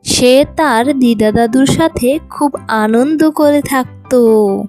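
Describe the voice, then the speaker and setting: A woman's voice: sits high, slow pace, darkish tone, faint music behind. A woman reading scripted narration for a children's fairy tale as its storyteller.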